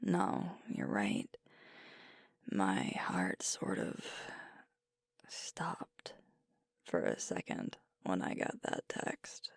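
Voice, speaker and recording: A woman playing a sweet, weary werewolf girlfriend in an ASMR roleplay, confiding softly and haltingly in a breathy voice. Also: a bass voice, huge pitch swings, dry recording, clean studio sound, full character voice. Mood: anxious, confiding. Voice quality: soft, breathy, whispery. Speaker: ASMR voice actor. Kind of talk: ASMR roleplay, intimate one-sided conversation.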